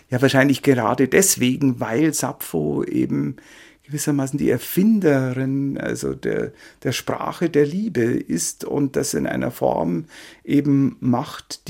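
A man's voice: mid-range voice, vocal fry, a little mellow. A man, a researcher, explaining off the cuff in an interview and searching for his words. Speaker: literature researcher and translator, interviewee.

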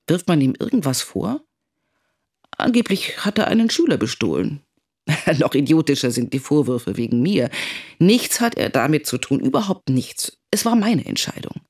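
An actress playing a worried, candid middle-aged mother telling her story in a dramatic first-person monologue, with a husky, creaky voice. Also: very deep voice, darkish tone, heavily acted.

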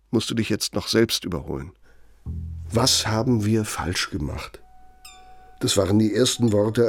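An actor playing a self-important ageing German architect who dictates in a pompous, deliberate, rhetorical manner, as in a radio play. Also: male voice, lowish voice, creaky. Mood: reproachful, sardonic.

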